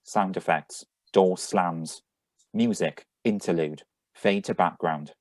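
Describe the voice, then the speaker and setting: An actor is a brisk man in a radio drama reading, who also announces the sound effects. His voice is low. The sound is dry and close, with little room in it. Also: a little mellow, no room sound. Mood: flat announcing. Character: brisk, mildly exasperated grown son at breakfast. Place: remote live reading over video call.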